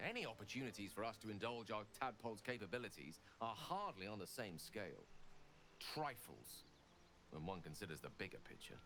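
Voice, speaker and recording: A man playing an erudite, urbane wizard in a video game, speaking eloquently and deliberately. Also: on the low side, sing-song, a bit bright, faint music behind. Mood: breezy, reasoning. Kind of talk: video game dialogue acting.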